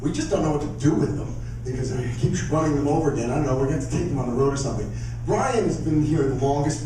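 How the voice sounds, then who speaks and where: A man speaking off the cuff as a theater emcee, in a joking, halting, conversational way. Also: mid-range voice. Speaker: theater troupe director and emcee. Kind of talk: live unscripted stage announcing. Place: live performing arts theater stage. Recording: echoey hall from far off, noisy audience. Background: audience murmur and noise.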